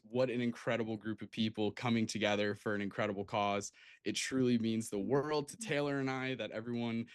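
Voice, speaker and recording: A man's voice: mid-range voice, dry recording. Enthusiastic male livestream host, dry close sound. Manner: enthusiastic, effusive. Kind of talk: casual livestream introductions. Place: remote video call livestream.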